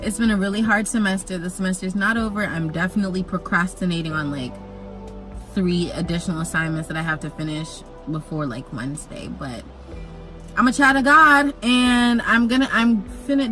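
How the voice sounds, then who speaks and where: A young woman who grew up in Jamaica, telling a personal story in a chatty, animated vlog with jokey asides. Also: mid-range voice, normal speed, faint music behind, low-quality recording. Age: young adult. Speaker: vlogger.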